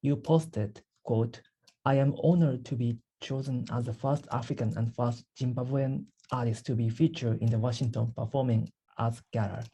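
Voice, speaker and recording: A polite man from Japan conducts an interview, reading his questions carefully and thanking the guest. His voice is mellow and a touch husky, at a middle pitch. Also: fairly even intonation, normal speed, poor audio. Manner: polite, thanks often, some stumbles. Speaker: student interviewer.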